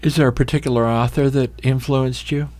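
A man's voice: medium pitch, steady tone, fairly quick, a little mellow, dry recording. A man hosting a radio talk show, asking easygoing, chatty questions with many fillers. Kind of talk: radio interview.

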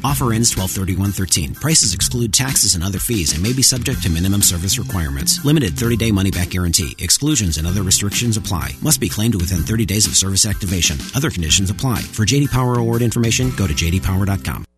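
A man's voice: sits low, over music. A man rattling off a legal disclaimer at the end of a radio ad. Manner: rapid flat disclaimer reading. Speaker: announcer.

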